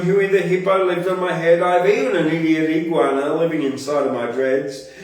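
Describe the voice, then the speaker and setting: A fairly high-voiced Australian man performs verse with a theatrical, rhythmic swing. He sounds like a poet hosting his own night. The recording is very echoey and set back from the mic. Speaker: poetry show host and poet. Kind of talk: performance poetry recital with casual hosting. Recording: very echoey room, distant from mic.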